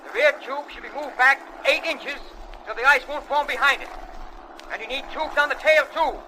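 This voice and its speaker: A man's voice: very high voice, moderate pace, sharp tone, slight background noise, exaggerated voice, low-quality recording. A man playing a cocky, reckless veteran pilot in a vintage aviation drama, talking in clipped, commanding slang. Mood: urgent, brave.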